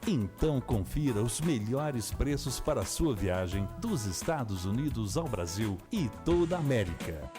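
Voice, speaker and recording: A man doing a commercial voice-over in a smooth, persuasive sales read. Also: mid-range voice, big pitch swings, normal speed, hardly any pauses. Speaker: radio ad announcer. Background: music.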